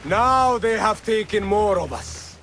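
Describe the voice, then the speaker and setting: Fiery rebel leader. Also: male voice, high voice, expressive, takes it slowly, a bit bright, slight background noise, heavily acted. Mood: rousing. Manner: rousing, oratorical. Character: male rebel leader, fiery preacher of revolt. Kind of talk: video game cutscene acting. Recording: game audio with music.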